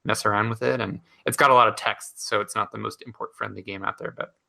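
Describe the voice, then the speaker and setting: A man's voice: lowish voice, fairly even intonation, fast, vocal fry, pushed and bright. A man co-hosting a gaming podcast, talking casually and enthusiastically with many fillers. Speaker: podcast co-host. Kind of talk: casual chat.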